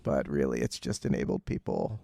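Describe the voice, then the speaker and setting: A man with a creaky, gravel-edged voice trades casual banter as a radio co-host. His delivery is wry and understated. He sounds close, in a dry space with no echo. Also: rumbling low, big pitch swings, moderate pace, warm tone. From Canada. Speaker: co-host of a local music and chat radio show.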